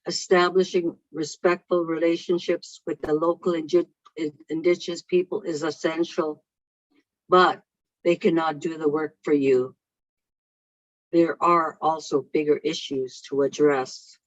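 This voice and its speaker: A keynote speaker for whom English is a second language, reading a prepared speech in a slow, deliberate way over a video call. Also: medium pitch, flat delivery, dry recording. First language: not English. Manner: slow, measured and deliberate delivery. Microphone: video call microphone. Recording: dull lo-fi online call audio.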